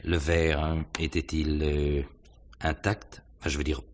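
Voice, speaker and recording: A man's voice: extremely low pitch, little pitch movement, a little slow. An actor playing a courteous, probing police inspector in a 1950s-style French radio mystery, hesitant and musing as he questions.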